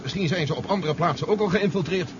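A man's voice: sits high, fast talker, natural voice. A man acting a sober, shrewd, decisive adventurer in a radio drama. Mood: questioning, practical. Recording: muffled, noisy old radio recording.

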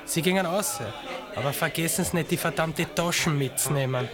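An actor playing a wary, streetwise petty thief with Viennese accent and slang in a radio play. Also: male voice, a little high, a little fast, over loud music, over a noisy crowd, natural voice. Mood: gruff, warning. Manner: slangy, suspicious.

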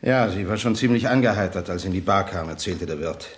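An actor playing a polite, matter-of-fact police sergeant in a radio crime drama, measured and official. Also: male voice, low-pitched, lightly acted. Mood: grave, factual.

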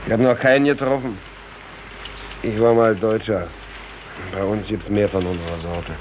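An actor in a 1940s German radio drama playing a world-weary, wry stateless coal trimmer, plain-spoken and fatalistic. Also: male voice, on the low side, steady tone, normal speed, close to an everyday voice. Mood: resigned. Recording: old radio recording, faint noise.

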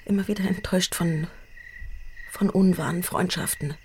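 An actress playing a troubled, hesitant young woman in an intimate radio play, halting and often dropping to a breathy near-whisper. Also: lowish voice, steady tone, darkish tone, slight background noise. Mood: sad, confessional.

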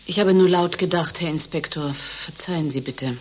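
A woman's voice: low-pitched, moderate pace, slight background noise, lightly acted. A woman playing a foul-mouthed abbess with a shady past in a satirical radio play, swinging theatrically between curses and pious apology. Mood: contrite, plaintive. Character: foul-mouthed abbess, reformed prostitute, falsely contrite.

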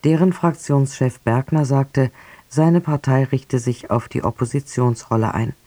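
A man reading the radio news in a measured, formal manner. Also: medium pitch, steady tone, mellow, dry recording. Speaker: newsreader.

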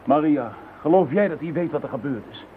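A man's voice: fairly high pitch, animated, fairly quick, close to an everyday voice. Een man die in een hoorspel een gretige, zakelijke medewerker speelt. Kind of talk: radio play acting. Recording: lo-fi phone-band radio recording with faint hiss.

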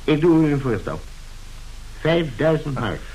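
A man's voice: a little high, sing-song, a little slow, darkish tone, low-quality recording. Blustering, imperious tycoon father. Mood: blustering, exasperated. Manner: imperious, barking curt orders. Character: domineering, blustering rich businessman, middle-aged father of grown children. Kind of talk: radio play acting. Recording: hissy old radio play recording.

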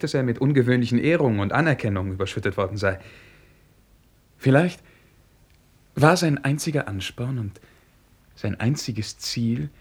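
An actor in a German radio play playing a courteous, reserved young prince who speaks formally and hesitantly in a husky voice. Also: male voice, mid-range voice, a little mellow.

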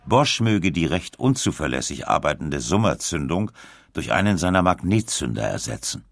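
A man reading scripted documentary narration in a measured, clearly articulated way. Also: rumbling low, big pitch swings, no room sound, clean studio sound. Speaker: audiobook narrator.

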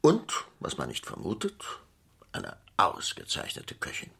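A man's voice: on the low side, huge pitch swings, a little slow, vocal fry, fairly bright tone, breathy edge, full character voice. An actor playing a flustered, scheming Greek shipping businessman in a radio crime play, speaking hesitantly with theatrical emphasis. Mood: wry, explanatory.

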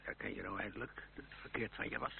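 An actor playing a bewildered, stammering old gentleman in a radio drama, with sighs and a gravelly voice. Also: lowish voice, fairly even intonation, fast talker. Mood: plaintive. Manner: stammering, hesitant, sighing. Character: bewildered, sighing old uncle, ruined gentleman fleeing revolution. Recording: noisy, humming, tinny old radio recording.

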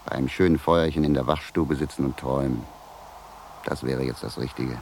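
Weary wanderer, very deep voice, noisy recording. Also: male voice, fairly even intonation, brisk, a little mellow. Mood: fed up. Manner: theatrical musing, talking to himself. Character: weary, freezing male wanderer muttering to himself at night. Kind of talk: German radio drama acting, soliloquy. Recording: noisy 1970s radio drama recording.

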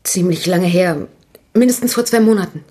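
A woman's voice: lowish voice, normal speed, dark tone, close to an everyday voice. An actress playing a nervous, talkative woman shop owner in a radio crime drama, speaking hesitantly. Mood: hesitant, confiding. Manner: hesitant, gossipy, restarting sentences.

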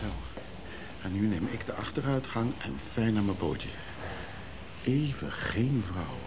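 A man's voice: fairly low pitch, big pitch swings, a little slow, noisy background. An actor playing a blunt, independent man in a radio drama, brusque and offhand. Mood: relieved. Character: blunt, independent man, former drinker, weary of women.

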